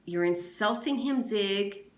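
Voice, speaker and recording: A woman's voice: mid-range voice, takes it slowly, lightly acted. Woman reading as a sarcastic teenage ringleader, echoey room. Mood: sarcastic goading. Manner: mock-sincere, goading. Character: mocking teenage camp boy, sarcastic ringleader.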